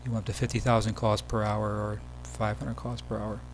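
Low-key man narrating, faint hum behind. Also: little pitch movement, fairly quick. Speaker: software demo presenter. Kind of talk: casual screencast tutorial narration. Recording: background hum and noise.

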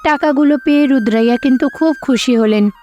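A woman's voice: high voice, a little fast, warm tone, dull audio. A woman reading scripted storybook narration for a cartoon. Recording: faint music behind.

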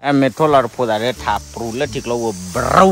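A man's voice: medium pitch, fast, soft background music. A young man bantering playfully in casual chat on a forest hillside, heard through a camera mic.

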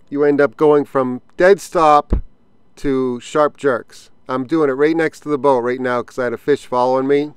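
A man hosting his own fishing vlog, talking casually in a relaxed way with fillers while he casts and reels from a small boat on a pond, recorded on an action camera. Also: fairly high pitch, clean tone, dry recording. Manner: relaxed explanatory, thinking aloud with fillers. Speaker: fishing channel host.

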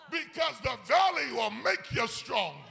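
A man's voice: extremely high pitch, gravelly, bright, forceful tone. An older man preaching a sermon, chanting and whooping, calling on the congregation to answer back. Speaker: pastor. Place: church sanctuary.

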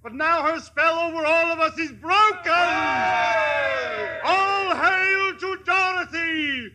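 An actor playing a solemn castle guard in 1950s radio drama. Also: male voice, very high-pitched, takes it slowly. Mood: awed, jubilant. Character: witch's guard, solemn and jubilant at liberation. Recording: very noisy vintage radio broadcast.

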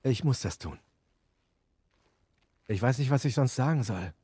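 A man's voice: medium pitch, animated, measured pace, warm tone, breathy edge, no echo. Blunt, wary male survivor. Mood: resolute. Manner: blunt, defensive, serious. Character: wary, resentful younger brother, rugged adult male survivor. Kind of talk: dramatic video game acting.

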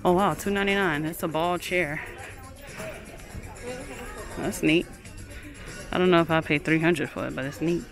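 A woman's voice: low-pitched, steady tone, moderate pace, pauses often. A woman narrating a casual shopping vlog on a handheld phone inside a large home goods store, chatting about items and reading prices aloud. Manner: chatty, keeps calling things cute, reads prices aloud. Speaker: shopping vlogger. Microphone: handheld phone camera. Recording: store recording with music playing behind.

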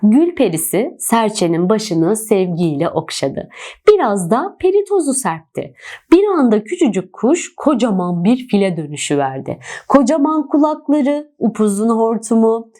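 A woman narrating a children's storybook in an expressive, dramatic storytelling manner. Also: a little high, moderate pace.

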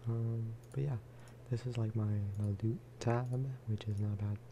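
A man giving soft-spoken ASMR commentary over a game, casual and rambling. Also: medium pitch, fairly even intonation, a little slow, background noise. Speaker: gaming video creator.

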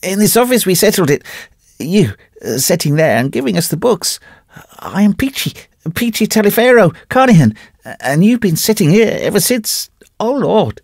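A man reading aloud gives voice to a shattered, ragged grey-haired wanderer, pitched well above the reader's own voice, whimpering and halting. The voice is gravelly and husky, swinging widely in pitch, with a dry, close sound. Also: sing-song, darkish tone, no echo, full character voice. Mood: desperate. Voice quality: whining, whimpering. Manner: pleading, halting with "uh"s.

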